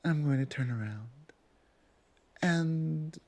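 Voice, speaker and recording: A woman playing a stern female minotaur guard captain with a soft heart in an ASMR roleplay, speaking deliberately with weighty pauses. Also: deep voice, no echo, full character voice. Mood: quietly conspiratorial. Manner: deliberate, pausing, commanding. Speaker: audio roleplay performer. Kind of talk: ASMR roleplay acting, addressing the listener.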